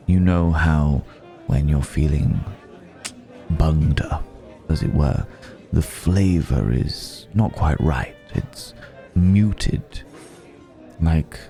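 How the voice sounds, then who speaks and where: A man playing a suave, courteous vampire in an intimate ASMR roleplay, speaking in a refined, formal, gently amused way with lingering pauses. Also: rumbling low, vocal fry, full character voice. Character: suave, courteous male vampire dining with a mortal guest.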